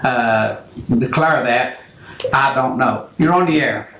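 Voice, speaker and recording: A man hosting a call-in radio show, talking in a slow, halting, rambling way. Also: medium pitch, echoey room, background noise. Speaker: radio talk show host.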